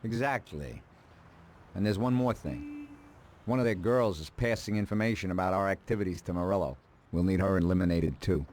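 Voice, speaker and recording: A man playing a calm, calculating middle-aged mob advisor in a video game, speaking in a dry, deliberate, matter-of-fact way. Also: deep voice, a little hiss or hum, natural voice. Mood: coolly ruthless. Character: calm, calculating male mafia consigliere, drily ruthless.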